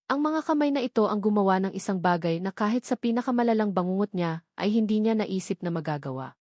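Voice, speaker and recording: A woman's voice: mid-range voice, expressive, fast, darkish tone, dry recording, studio quality. A woman with a Filipino accent reads a scripted romance drama as a storyteller, in a dramatic narrating style.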